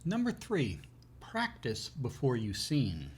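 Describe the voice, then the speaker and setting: A man co-hosting an educational show, talking conversationally in measured, halting phrases with pauses mid-sentence. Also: medium pitch, wildly swooping pitch, a little hiss or hum. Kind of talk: conversational advice and personal anecdotes.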